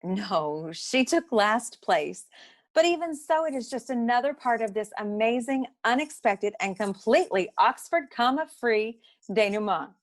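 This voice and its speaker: A woman's voice: on the high side, animated, unhurried. An actress playing a poised, witty woman who works beside a noir detective, speaking in an arch, formal, articulate way in a comic radio play. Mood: arch, warm.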